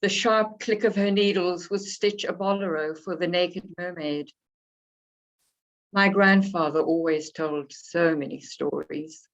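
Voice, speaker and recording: A slightly hoarse woman reads her poetry aloud, taking her time and pausing between phrases. Her voice sits a little low and moves at a very slow, measured pace. The recording sounds dull and lo-fi. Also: steady tone. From South Africa. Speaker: poet. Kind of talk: poetry reading with spoken introductions.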